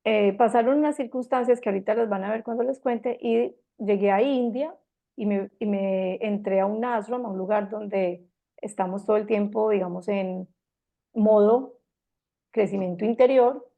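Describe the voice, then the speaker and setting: A Colombian woman in her fifties with a Medellín accent, gently giving a reflective personal talk with frequent pauses and restarts. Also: medium pitch, creaky, phone-quality sound. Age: 50s.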